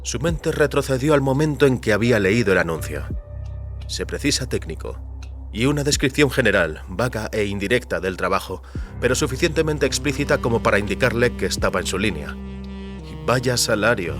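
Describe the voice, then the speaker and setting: A man's voice: medium pitch, animated, a little fast, over music. A man reading scripted dramatic narration for an audio fiction story.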